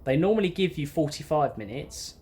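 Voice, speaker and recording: A man's voice: fairly high pitch, lively intonation, brisk, darkish tone. A twenty-year-old man, chatting off the cuff to camera in an informal, matter-of-fact, rambling way. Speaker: bus driver vlogger. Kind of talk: unscripted casual chat to camera. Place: inside an empty parked bus. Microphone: phone or camera at arm's length. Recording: clear ambient noise inside bus.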